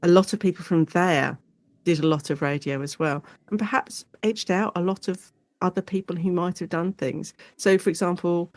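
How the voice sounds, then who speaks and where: A woman guest academic explaining her subject in a conversational interview, chatty and animated, thinking aloud as she goes. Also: lowish voice, moderate pace, dry recording.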